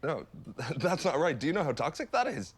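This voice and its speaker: Very husky, manipulative boyfriend. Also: male voice, fairly high pitch, very animated, a little fast. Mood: offended, accusing. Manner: casual, dismissive, condescending. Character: manipulative, dismissive boyfriend, smoothly guilt-tripping. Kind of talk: naturalistic dark comedy film acting.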